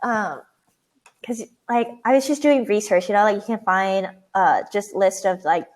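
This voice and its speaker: A woman's voice: medium pitch, moderate pace. A young adult woman chatting casually on a video call, filling her speech with "like" and "you know". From grew up speaking English outside a Vietnamese-speaking home. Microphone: video call headset or laptop mic.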